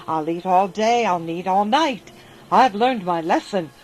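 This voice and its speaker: A woman's voice: mid-range voice, unhurried, rough recording. A woman putting on a greedy, frantic hoarder. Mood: greedy excited. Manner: loud, excited, grasping.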